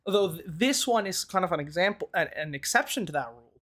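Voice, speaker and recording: A man gives casual video game commentary in a slightly hoarse, strained voice. He thinks aloud as he goes, rambling in a conversational way as the host. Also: sits high, expressive, fast talker, fairly bright tone.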